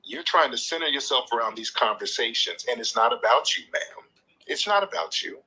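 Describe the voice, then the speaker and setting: A combative man hosts a live call-in show, lecturing hard and cutting callers off. His voice is bright and edgy with a husky grain. His pitch is a little high and swings widely as he talks. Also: animated, fairly quick, poor audio. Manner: combative, lecturing, repeats points; swears freely, talks over the caller. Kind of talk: heated live call-in argument.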